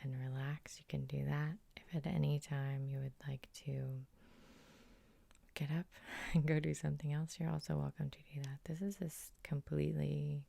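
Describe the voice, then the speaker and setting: A woman hypnotherapist guiding an ASMR sleep hypnosis in a soft, soothing half-whisper with slow, lingering phrases. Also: low voice, little pitch movement, vocal fry, no room sound. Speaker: hypnotherapist and host.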